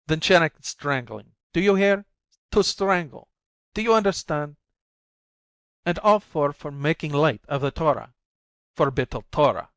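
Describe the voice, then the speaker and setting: A man's voice: mid-range voice, fairly quick, few pauses, a bit bright, no room sound, natural voice. Hectoring storybook husband, read by a man, raised voice, dry booth. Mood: threatening. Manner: hectoring, lecturing. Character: blustering scholarly husband threatening his wife. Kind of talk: audiobook reading.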